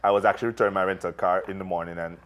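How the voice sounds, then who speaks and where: A man from Jamaica with a light Jamaican accent, speaking slowly and deliberately as a guest. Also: low-pitched, fairly even intonation, a bit bright. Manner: slow, deliberate. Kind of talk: casual chat.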